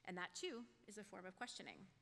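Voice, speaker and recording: Bright-voiced woman preaching in an echoing hall. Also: medium pitch, lively intonation, quick pace. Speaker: lay speaker giving a sermon. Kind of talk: reflective prepared sermon. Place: church sanctuary, speaking to congregation. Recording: echoey church hall.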